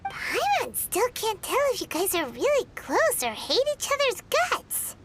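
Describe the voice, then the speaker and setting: A woman voicing a tiny, excitable fairy companion in a video game, with a squeaky childlike voice and a blunt, exclamatory manner. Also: unhurried, slight background noise, exaggerated voice. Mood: puzzled. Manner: refers to self in third person, exclamatory. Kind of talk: video game cutscene acting. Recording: music playing behind the voice.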